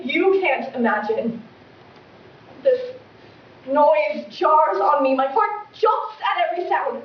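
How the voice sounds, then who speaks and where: A woman acting a distraught, pleading aristocratic mother in a melodramatic classical stage monologue with dramatic pauses. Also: very high voice, heavily acted. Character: distraught, pleading aristocratic mother losing her beloved home. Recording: distant mic, echoey room, dull, faint noise.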